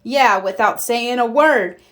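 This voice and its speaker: A woman's voice: fairly high pitch, slow, fairly bright tone. A woman voicing a skeptical tough schoolboy in a children's storybook. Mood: scornful.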